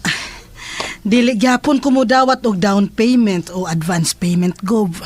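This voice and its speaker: A man's voice: sits high, a little slow. A man voicing a stern, principled mother in a horror audio drama. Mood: firm, weary. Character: stern, principled mother who works sorcery for hire.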